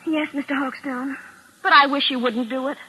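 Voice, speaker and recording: A young Canadian secretary in a vintage radio play, pert and unimpressed. Her voice is high and crisp-edged with a bright, forceful tone, and she argues in a matter-of-fact, stubbornly literal way. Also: steady tone, moderate pace, a little hiss or hum, rough recording. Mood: dryly skeptical. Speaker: actor. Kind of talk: 1940s radio drama acting. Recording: vintage 1940s radio recording.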